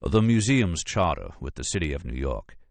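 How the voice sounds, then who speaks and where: A man's voice: extremely low pitch, big pitch swings, normal speed, creaky, darkish tone, dry recording, heavily acted. A man voicing a courteous, self-assured FBI agent in an audiobook, with a creamy drawl and formal, precise, drily witty delivery. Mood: quietly insistent. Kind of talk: audiobook character dialogue.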